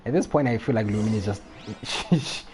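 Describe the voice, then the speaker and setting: A man's voice: fairly low pitch, a little fast, crowd noise. A young man commentating casually on a live game stream, slangy, chatty and reactive.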